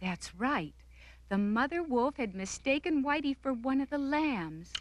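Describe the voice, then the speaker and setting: A woman's voice: sits high, animated, measured pace, no room sound. A woman narrating a scripted children's cartoon story.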